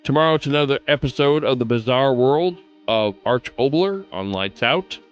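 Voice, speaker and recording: A man's voice: medium pitch, expressive, moderate pace, clear, resonant tone, dull audio, faint music behind. A man hosting a podcast with a scripted delivery, recorded close on the mic. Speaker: podcast host.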